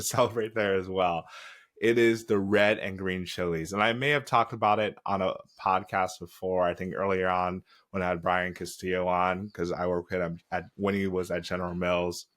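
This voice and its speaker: A man's voice: sits low, steady tone, normal speed, fairly bright tone. A young man from Nigeria, raised in the Midwest, hosting a solo podcast and giving encouraging career advice in a casual tone. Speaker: podcast host.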